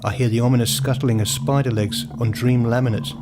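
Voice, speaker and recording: A man reading dramatic, literary first-person narration for a radio play in a deliberate storytelling manner. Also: medium pitch, fairly even intonation, background noise. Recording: music behind the voice. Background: music.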